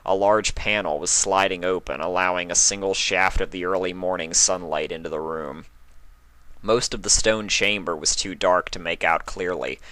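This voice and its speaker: A man narrates a story from a script. His voice sits fairly low, and the recording is very dry, with hardly any room around it. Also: fairly bright tone, no room sound. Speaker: fan fiction reader and narrator.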